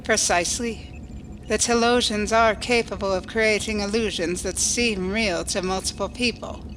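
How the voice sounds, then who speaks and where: A woman's voice: mid-range voice, steady tone, normal speed, a bit bright, slight background noise, natural voice, rough recording. A woman playing a coolly logical alien starship officer, formal, precise and unemotional, in a science fiction audio drama. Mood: formal, detached.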